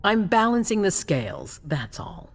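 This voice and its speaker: A woman's voice: mid-range voice, very animated, a little slow, slightly rough, full character voice. An actress playing a cold, ruthless female vampire leader in a video game, speaking with imperious authority. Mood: cold, commanding. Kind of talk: dramatic video game acting.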